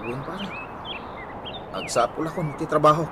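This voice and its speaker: A man's voice: on the high side, lively intonation, normal speed, close to an everyday voice. An actor playing a meek, embarrassed, jobless man in a comedy radio drama, speaking in a subdued, hesitant way. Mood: apologetic. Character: meek, embarrassed jobless friend asking for help. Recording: noisy ambience, birds behind.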